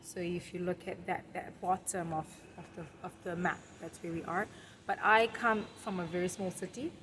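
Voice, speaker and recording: Young woman, laughing, relaxed. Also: fairly low pitch, normal speed, slight background noise. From Zimbabwe. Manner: warm, laughs easily, relaxed storytelling. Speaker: guest. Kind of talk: conversational interview.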